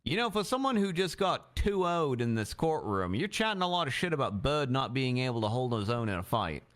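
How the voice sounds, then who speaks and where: A man's voice: mid-range voice, very animated, fairly quick, fairly bright tone. A young man reading dramatically and expressively while playing a judge in a courtroom roleplay.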